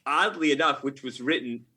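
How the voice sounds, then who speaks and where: A man's voice: mid-range voice, normal speed, sharp tone, husky. An American man answering as an interview guest in a conversational way, often backing up and rewording his explanations as he goes. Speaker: podcast guest, video game writer.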